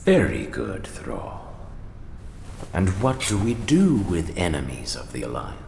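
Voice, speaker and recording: A man playing a haughty, cruel human lord and slave master in an animated fantasy game, pompous, condescending and menacing in a theatrical way. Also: deep voice, slow, vocal fry, a little hiss or hum, heavily acted. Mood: cold, disappointed. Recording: echoey hall reverb under music.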